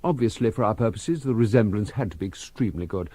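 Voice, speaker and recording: An actor playing a genial, very old spacefaring scientist in a radio drama, speaking in a formal, measured, commanding way. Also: male voice, fairly low pitch, warm tone, natural voice. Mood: courteous demonstration.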